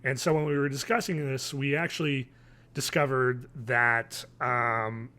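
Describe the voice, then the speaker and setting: Bright-voiced man, hesitant podcast co-host. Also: mid-range voice, steady tone, unhurried. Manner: hesitant, meandering, self-correcting. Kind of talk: casual podcast review. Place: living room at home, indoors. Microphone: video call, wearing headphones.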